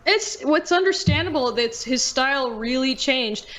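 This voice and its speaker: A woman's voice: sits high, animated, measured pace, fairly bright tone. A woman hosting a podcast in casual chat, informal and slangy with some offhand swearing. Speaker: podcast host, online video creator.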